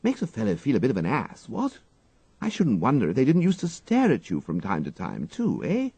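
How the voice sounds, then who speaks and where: A man voicing an excitable, flustered young upper-class English gentleman with an exclamatory manner and dated slang, in an audiobook. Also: mid-range voice, a little fast, husky, dry recording, pitched above the performer's own voice, heavily acted. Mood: bashful, uneasy.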